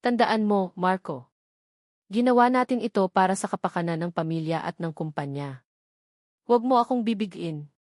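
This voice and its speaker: A woman plays a stern old matriarch in an audio love drama. She speaks with a Filipino accent at a medium pitch, formal and commanding. The sound is dry and close. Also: normal speed, pauses often, no room sound, close to an everyday voice. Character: stern, authoritative old grandmother, wealthy matriarch.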